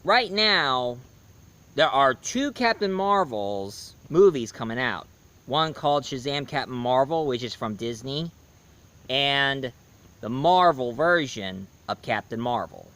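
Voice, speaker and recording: A man giving a casual spoken review, hoarse, rambling and correcting himself mid-sentence. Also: medium pitch, lively intonation, takes it slowly, fairly bright tone. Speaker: movie and comics reviewer.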